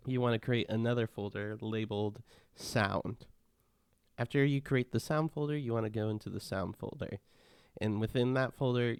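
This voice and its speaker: A man narrating a casual step-by-step software tutorial in a plain, instructional manner. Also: lowish voice, clear, resonant tone, dry recording, studio quality.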